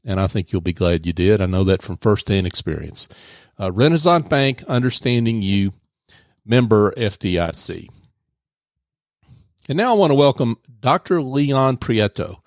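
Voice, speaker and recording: Relaxed radio host, dry studio sound. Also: male voice, on the low side, moderate pace, no echo. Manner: relaxed, chatty, frequent fillers. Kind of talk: casual radio interview.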